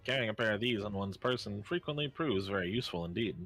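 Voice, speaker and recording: A man voicing an eccentric, self-satisfied gentleman detective in a game fan dub, speaking in a grand, wordy, formal way. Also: on the low side, expressive, fast talker, soft background music. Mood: proudly explaining.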